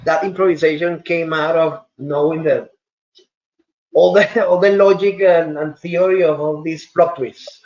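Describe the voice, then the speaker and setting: A man's voice: a little high, steady tone, unhurried, darkish tone, echoey room, dull audio. A man asking questions in a webinar, stammering and repeating words.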